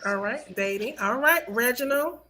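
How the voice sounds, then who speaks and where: Bright, upbeat woman hosting a panel. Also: fairly high pitch, expressive, normal speed, slight background noise. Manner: upbeat, chatty, speaks straight to the women watching. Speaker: host of online panel show. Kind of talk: lively show hosting, addressing viewers.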